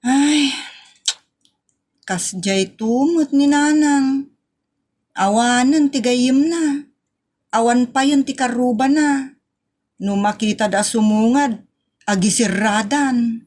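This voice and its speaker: Woman playing an earnest, slow-talking reproachful Filipino daughter. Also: on the high side, a little mellow. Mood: complaining. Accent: northern Philippine. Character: grown daughter earnestly reproaching her mother. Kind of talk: Ilocano comedy radio drama acting.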